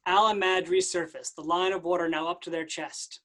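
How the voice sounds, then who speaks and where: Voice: medium pitch, fairly even intonation, brisk, a bit bright. A reader narrating stage directions plainly at a staged play reading.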